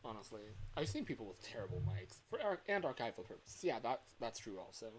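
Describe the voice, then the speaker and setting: A young man livestreaming in a casual chat, rambling and halting as he corrects himself mid-sentence. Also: medium pitch, very animated, a little hiss or hum, poor audio. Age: young adult. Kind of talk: casual chat with stream viewers.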